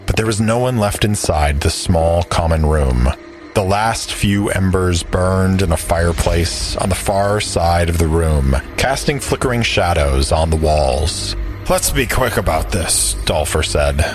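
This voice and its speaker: A male narrator with a low voice tells a scripted story in audio drama style. Music plays clearly beneath his words. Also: measured pace. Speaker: narrator and player.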